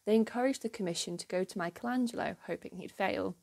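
A woman hosting a history channel, giving a clear, measured scripted narration with an enthusiastic storytelling manner. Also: mid-range voice, a little mellow.

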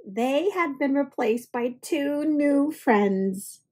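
A woman reads a children's picture book aloud expressively, with deliberate dramatic pauses. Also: high voice, expressive, darkish tone, low-quality recording.

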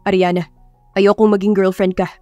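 A woman voicing a calm, earnest young bachelor in love, speaking softly and reserved in an audiobook romance. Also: mid-range voice, no echo, soft background music, lightly acted. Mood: earnest, tender. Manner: calm, soft, measured.

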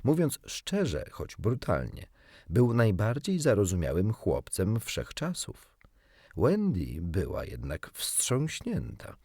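A male audiobook narrator with a low voice, telling a children's story expressively. His intonation ranges very widely, and the recording is close and dry. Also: huge pitch swings, slow pace, a little mellow, breathy edge, no room sound.

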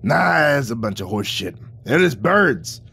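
A man voicing a crude, loud male creature handler who talks in a gruff, blunt, coarse way. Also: mid-range voice, sing-song, a little slow, heavily acted. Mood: gruff, brash.

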